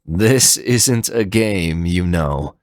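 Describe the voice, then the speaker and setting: A man's voice: low-pitched, lively intonation, drawn-out words, dry recording, exaggerated voice. A stern robot hero with a sword, voiced by a male narrator, curt and full of scorn. He grumbles his lines in a dry, close recording for an action story. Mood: curt, grumbling.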